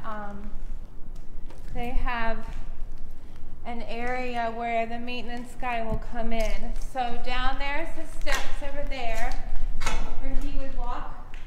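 A young woman guides viewers through a crumbling industrial building in an easy, filler-heavy chat. Her slightly high voice rings through a long, echoing hall. Steady noisy room tone sits under her words. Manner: chatty, loose, many fillers. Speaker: urban explorer host. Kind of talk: casual guided walkthrough. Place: large abandoned industrial building, indoors.

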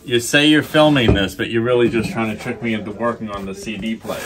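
A man's voice: on the low side, steady tone, brisk, music in the background. An older man, a repair technician, chatting casually as he works in a small workshop. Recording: clear background noise, workshop clicks.

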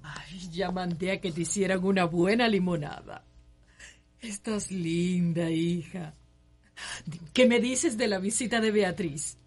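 A woman's voice: on the low side, a little slow, a little mellow, soft background music, natural voice. An actress playing a doting, fussy middle-aged mother of a planter family in a radio soap, with a Cuban accent. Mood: fond, effusive. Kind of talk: radio soap opera acting.

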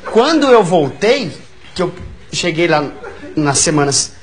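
A Brazilian man in his thirties with a stutter, telling a comic anecdote haltingly and repeating words as a talk show guest. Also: fairly high pitch, big pitch swings. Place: large TV studio with audience. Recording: lo-fi TV studio tape, loud audience noise. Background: loud audience laughter.

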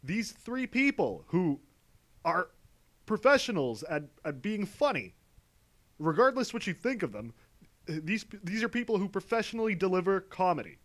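A man's voice: high voice, sing-song, moderate pace, a bit bright. A man giving a sarcastic commentary as a video essay host, dry and mocking.

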